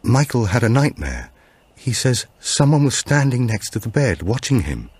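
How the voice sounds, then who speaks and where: A man's voice: mid-range voice, sing-song, moderate pace, a little mellow, husky, dry recording, voice pushed up. Male reader as anxious wife, apologetic, explanatory, varied intonation, audiobook character. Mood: concerned, apologetic. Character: worried wife explaining her troubled husband.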